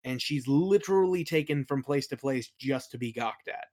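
A podcast guest chatting casually about creative work, a little hesitant and softening opinions as they go. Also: medium pitch, moderate pace. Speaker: interview guest, creator of a fantasy audio play. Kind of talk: casual interview chat about worldbuilding.

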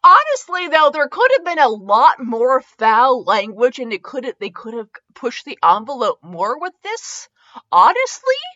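A woman hosting a podcast with casual, humorous review chat, rambling and halting as she goes, often giggly. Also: high-pitched, huge pitch swings, sharp tone, highs cut off. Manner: giggly, frequently breaking into laughter; rambling, halting self-interrupted delivery. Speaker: podcast host.

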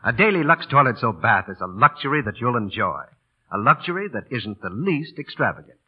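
A man's voice: mid-range voice, very animated, a little fast, slightly rough. A man reading scripted advertising copy as an old-time radio announcer. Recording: dull old radio broadcast.

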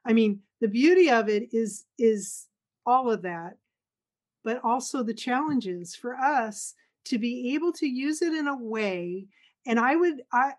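A middle-aged woman guest in an interview, talking earnestly and emphatically and acting out what others say. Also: fairly high pitch. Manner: earnest, emphatic, acts out quotes. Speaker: guest speaker. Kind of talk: conversational interview.

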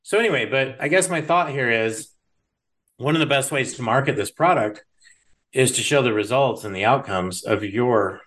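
A man's voice: mid-range voice, moderate pace, a bit bright. Conversational man hosting a business podcast. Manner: conversational, thinks aloud with restarts. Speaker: podcast host. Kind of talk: casual business interview.

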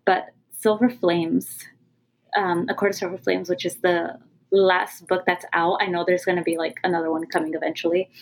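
A woman's voice: fairly low pitch, little pitch movement, normal speed, slight background noise. A woman from California, a guest author who talks openly in casual interview style, with many ums and restarts as she searches for words. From California, USA.

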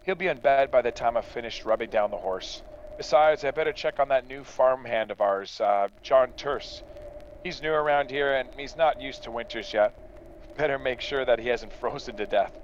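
An actor playing a confident farmer, a dutiful son and brother, in a radio drama. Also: male voice, medium pitch, moderate pace, highs cut off, slight background noise, lightly acted. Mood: reassuring. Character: confident farmer son, reassuring, dismissive of worries.

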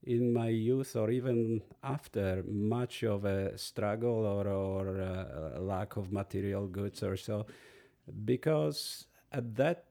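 A man in his sixties from Poland with a Polish accent, a podcast guest slowly and thoughtfully recalling his past. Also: lowish voice, vocal fry, warm tone. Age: 60s. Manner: measured, thoughtful, pauses and restarts. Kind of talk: slow reflective storytelling.

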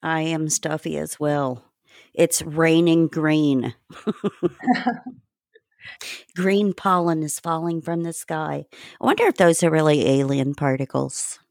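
Woman, podcast host, low voice, dry close sound, chatty, giggly, casual swearing. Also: measured pace, creaky, darkish tone, no room sound.

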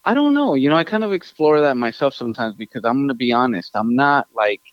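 A man from Queens, a restaurant owner talking casually as a guest, rambling with lots of fillers and restarts and jumping up in pitch when he gets animated. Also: fast talker, no room sound, dull audio. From New York City, Queens, Ecuadorian family. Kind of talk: casual interview talk.

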